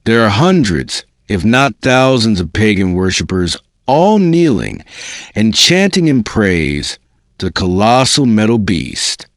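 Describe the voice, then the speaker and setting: A man reading a book aloud as a dramatic narrator, with a deliberate storytelling delivery. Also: fairly low pitch, sing-song, no echo, studio quality. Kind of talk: scripted dramatic audiobook narration.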